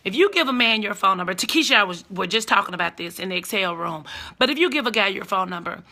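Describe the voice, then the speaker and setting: Hoarse, blunt woman giving dating advice. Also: medium pitch, expressive, fast, pushed and bright. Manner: blunt, sassy, talks straight to viewers. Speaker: advice host on a live stream. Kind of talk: casual live-stream advice chat. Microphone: phone live stream.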